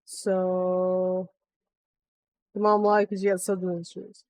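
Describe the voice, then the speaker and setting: A woman's voice: on the low side, little pitch movement, slow pace, a little mellow. A woman streamer chatting casually as she reacts to a video, slangy and prone to swearing. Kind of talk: casual live reaction chat.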